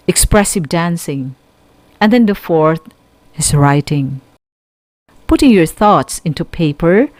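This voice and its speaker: A woman with a Filipino accent giving a scripted radio lecture as a teacher, deliberate and instructional, speaking directly to her students. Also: on the low side, darkish tone, dry recording. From Philippines. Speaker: teacher hosting a radio school lesson.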